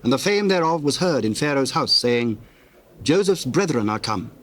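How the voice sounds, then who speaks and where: A male narrator reads scripture as part of an audio drama. His delivery is measured and formal, with a solemn tone and a medium-pitched voice. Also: expressive, a bit bright.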